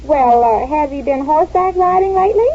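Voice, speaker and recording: A woman's voice: high voice, animated, brisk. A woman playing a naive, rambling young wife in a 1940s radio comedy, on a muffled old recording. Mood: dizzy chatter. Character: scatterbrained young wife, cheerfully literal.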